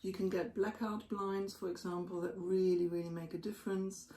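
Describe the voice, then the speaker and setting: A woman giving sleep advice in a casual chat, her voice going hoarse, often clearing her throat. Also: mid-range voice, flat delivery, moderate pace, mellow, roomy sound, slight background noise. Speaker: co-host.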